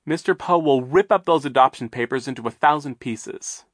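A man's voice: medium pitch, normal speed, a bit bright, no echo, clean studio sound, voice pushed up, natural voice. A man voicing a brave, determined girl who speaks firmly and earnestly. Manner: firm, earnest.

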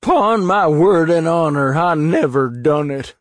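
A man's voice: a little high, lively intonation, unhurried, a little mellow, no room sound, clean studio sound, voice pushed up. A man voicing a frightened accused man who pleads and sobs as he protests his innocence, in a storybook reading. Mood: sobbing. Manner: pleading, tearful.

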